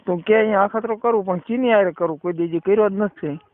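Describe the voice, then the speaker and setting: A man's voice: sits high, fast talker. A man with a Gujarati accent in a casual, colloquial chat, speaking tersely and prompting with short questions. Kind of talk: casual private phone chat. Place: recorded phone call. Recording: muffled narrowband phone call recording.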